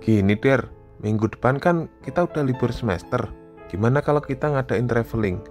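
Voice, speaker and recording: A man with an Indonesian accent voicing an earnest, plain-spoken young hiker in an animated horror story. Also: medium pitch, normal speed, lightly acted. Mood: friendly, planning. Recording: music bed with night cricket sounds.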